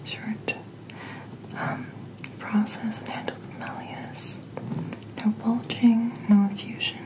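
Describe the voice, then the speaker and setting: A woman speaking in a soft, breathy whisper, gently and reassuringly playing a doctor in an ASMR roleplay, close to the microphone. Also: a little high, little pitch movement, takes it slowly, low-quality recording. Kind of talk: soft-spoken ASMR medical checkup roleplay.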